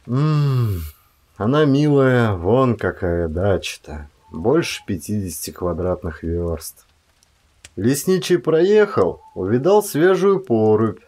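A man's voice: mid-range voice, darkish tone. Man acting a folksy, grumbling forest warden. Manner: folksy, drawling storytelling.

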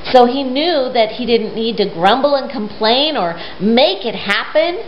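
A middle-aged woman doing storytime for young children, speaking simply with questions and pauses, recorded in a living room. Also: on the high side, animated. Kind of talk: storytime talk and read-aloud for young children. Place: living room at home, indoors. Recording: roomy, dull sound with faint noise.

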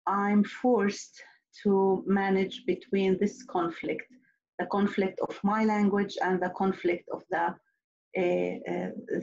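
A middle-aged woman whose first language is Arabic speaks slowly and earnestly, pausing and restarting as she works through a prepared talk. Her voice sits at a middle pitch. The audio is the dull, lo-fi sound of a laptop mic on a video call. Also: a little mellow. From Nazareth. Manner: slow, earnest, with hesitant restarts. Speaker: panelist, clinical psychologist. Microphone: laptop webcam mic on a video call.

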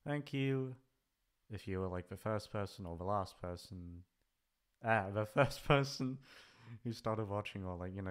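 Low-voiced man rambling casually. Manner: hesitant, rambling, swears casually. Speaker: ASMR voice actor, channel creator. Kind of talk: rambling casual farewell chat.

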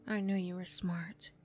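A woman's voice: lowish voice, a little mellow. A woman acting a flustered, defensive young former bully in an ASMR roleplay, stammering, bashful and snappy.

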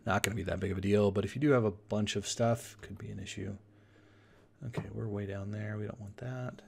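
A man giving an informal screen tutorial, thinking aloud as he explains each step in a casual, halting way. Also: fairly low pitch, fairly even intonation, vocal fry, a little mellow, breathy edge. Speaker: software tutorial instructor. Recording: noisy room with keyboard clicks. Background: typing and mouse clicks.

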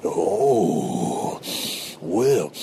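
A man's voice: low voice, big pitch swings, drawn-out words, vocal fry, dark tone, slight background noise, full character voice. A man voicing a curious, puzzled animal musing to his buddies in a comic animal voice-over. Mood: intrigued, baffled. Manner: musing, questioning.

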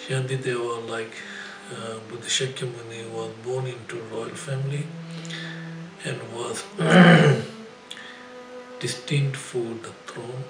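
Older guy reading a buddhist story bit by bit, really slow, roomy echo. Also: mid-range voice, fairly even intonation. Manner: halting, phrase-by-phrase delivery with frequent throat clearing. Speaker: Buddhist spiritual teacher. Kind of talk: reading a scripted life story aloud. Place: indoor shrine room. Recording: roomy echo, music behind.

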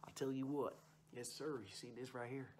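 A man's voice: medium pitch, flat delivery, fairly quick, darkish tone, a little hiss or hum, pitched above the performer's own voice, close to an everyday voice. A young man playing a boastful, folksy uncle who rambles about hunting and fishing in an ASMR roleplay. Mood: chummy, easygoing. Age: young adult. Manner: folksy, rambling, repeats stock phrases. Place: small room indoors.

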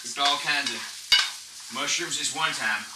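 A man's voice: mid-range voice, measured pace, lightly acted. A man plays a young, rustic country fellow, laconic and easygoing, in a comedy cooking show. He is heard from a distance in an echoey kitchen, under very heavy noise. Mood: folksy, matter-of-fact. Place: small home kitchen, indoors. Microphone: camera a few steps away. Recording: echoey noisy kitchen, distant camera microphone.

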